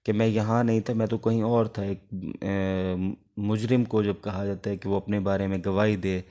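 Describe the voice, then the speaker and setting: A man with a Pakistani accent giving an informal explanatory lecture as a law teacher, pausing often between phrases. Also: on the low side, flat delivery, mellow.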